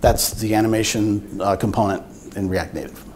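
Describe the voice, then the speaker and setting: An older man giving an informal technical presentation at a meetup, explaining things in a measured way. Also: fairly low pitch, fairly even intonation, darkish tone, slight background noise. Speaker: software engineer giving a talk. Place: meetup room with seated audience, indoors.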